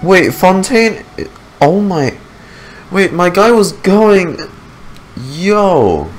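A man's voice: high-pitched, sing-song, extremely slow. A man in his twenties giving casual reaction commentary on a game into a desk microphone in a small room. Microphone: desk microphone on a boom arm, earphones. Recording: game music behind the voice.

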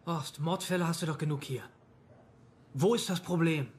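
Cautious, sensible police colleague for a radio play male actor, slightly high voice, probing manner. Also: moderate pace, close to an everyday voice. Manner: reasonable, probing. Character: level-headed, cautious male police detective, concerned colleague. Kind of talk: German radio crime drama acting.

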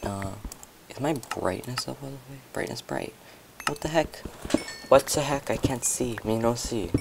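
Hoarse, gravelly young man narrating gameplay. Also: medium pitch, measured pace, heavy background noise. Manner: chatty, rambling, talks to viewers while playing. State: hoarse, losing his voice. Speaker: gameplay commentator. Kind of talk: casual gameplay commentary.

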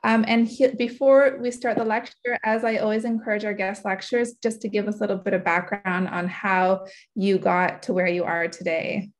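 Female host, mid-pitched, gives a short friendly intro to a guest over a video call. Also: little pitch movement, moderate pace. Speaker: lecture series host.

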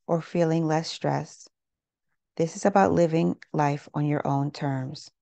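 A woman leading a guided meditation in a gentle, unhurried, measured way, leaving pauses between phrases. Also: low voice, creaky, no room sound. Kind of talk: guided meditation, scripted narration.

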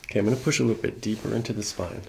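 A man, middle-aged, working as a chiropractor, calmly talking a patient through each step of an exam in a small office. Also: lowish voice, racing, vocal fry, a little hiss or hum, low-quality recording. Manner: explains each step before doing it. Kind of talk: calm clinical exam talk to a patient. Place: small carpeted clinic office.

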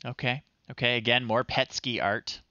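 A man's voice: mid-range voice, fairly even intonation, moderate pace, bright, forceful tone, no echo, dull audio. A middle-aged man casually commentating a live game stream, reading screen text aloud in a wry, off-the-cuff way, close on the mic. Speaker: retro game streamer, host. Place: small indoor room, livestream setup.

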